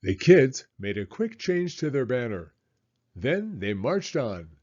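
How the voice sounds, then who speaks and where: Male storybook reader for children: expressive, animated delivery, soft mellow tone. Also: mid-range voice, takes it slowly, highs cut off. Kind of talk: children's read-aloud narration.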